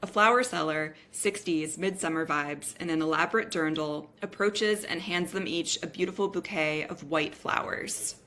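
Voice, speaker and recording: A woman's voice: sits low, moderate pace, few pauses, pushed and bright, slight background noise, poor audio. A woman reading the scene directions of a script as narrator at a table read.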